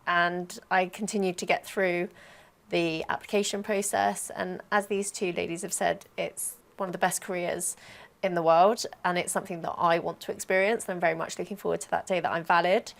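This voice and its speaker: A woman's voice: on the low side, level tone, fairly quick, vocal fry. A woman giving conversational interview answers as a trainee guest. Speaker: trainee air traffic controller.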